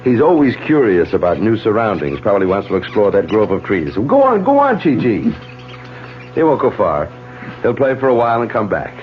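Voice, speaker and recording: Affable young surgeon, animated mid-range voice, courteous, slightly hesitant, dull old radio drama recording. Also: male voice, lowish voice, normal speed. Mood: amused, affectionate. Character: affable, polite younger male brain surgeon. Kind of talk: 1970s radio drama acting. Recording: dull 1970s radio recording, faint music.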